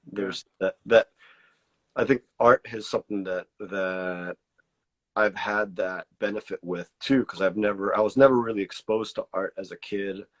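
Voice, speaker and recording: A man's voice: on the low side, normal speed, a bit bright. A man born in Mexico with Spanish as his first language, speaking English with a slight border accent, talking casually in an interview, hesitant and searching for words with many restarts. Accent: pocho border Spanglish-tinged American English. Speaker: artist, interview guest.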